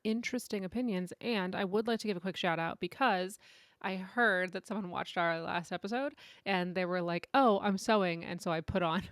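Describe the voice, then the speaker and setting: A woman co-hosts a podcast in an informal, chatty style with a giggly streak. Her medium-pitched voice is recorded close and dry, with very little room around it. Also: normal speed, dry recording. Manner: informal and conversational, often giggly. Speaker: podcast co-host. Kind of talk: casual chat.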